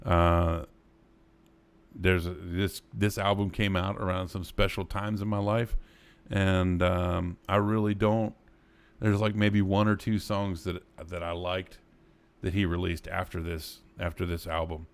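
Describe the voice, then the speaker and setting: A man with a very low voice hosts a live online music showcase. He talks casually and rambles, with many fillers as he introduces the videos. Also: level tone, a little slow, smooth. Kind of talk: casual live-stream chat.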